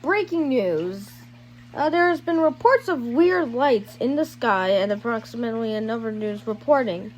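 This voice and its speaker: An amateur announcer giving homemade news and emergency alert messages in a halting, improvised way. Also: medium pitch, expressive, poor audio. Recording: loud background noise, homemade recording.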